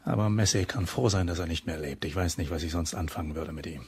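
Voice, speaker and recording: An actor playing an earnest, dutiful, embittered lighthouse keeper in a German crime radio drama, grave and blunt. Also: male voice, sits low, quick pace, darkish tone, heavily acted. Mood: bitter, subdued. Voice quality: husky, rough.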